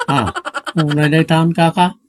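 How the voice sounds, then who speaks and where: A man playing a cranky, blustering old village father with an Ilocano accent in a comedic audio drama, husky and argumentative. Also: fairly high pitch, steady tone, unhurried, a little hiss or hum, lightly acted. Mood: indignant. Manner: argumentative, exclamatory.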